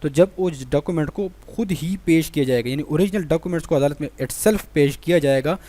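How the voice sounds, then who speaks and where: Higher-pitched Pakistani man giving a lecture. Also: fairly quick, mellow. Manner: explanatory, repeats points with rhetorical questions. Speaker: law lecturer. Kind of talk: exam-prep lecture, reading questions then explaining.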